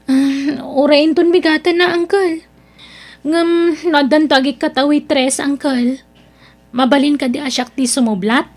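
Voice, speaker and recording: High-voiced, whiny young Filipina niece. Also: female voice, fairly even intonation, measured pace. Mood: hesitant, pleading. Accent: Filipino, Ilocano. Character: proud young niece Mira, reluctant new restaurant dishwasher, complaining daughter. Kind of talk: Ilocano audio drama acting.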